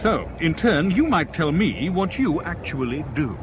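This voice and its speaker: Intense lovesick man, animated, noisy recording. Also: a little high, a little slow. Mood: brisk, guarded. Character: lovesick, anxious man desperate to flee with his lover. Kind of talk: 1970s radio drama acting.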